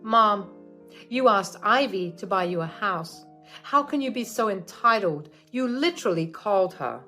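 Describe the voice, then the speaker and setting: A woman's voice: medium pitch, animated, a little slow, bright, forceful tone, faint music behind. Woman doing a furious young husband. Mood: angry. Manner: firm, reproachful, raised voice. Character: angry young husband defending his wife.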